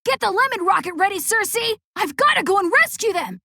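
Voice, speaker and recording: A woman voicing a plucky, cocky young boy hero in an animated video game cutscene, brash and eager. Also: very high voice, brisk, vocal fry, dry recording, clean studio sound, full character voice. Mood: urgent. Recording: loud music behind the voice.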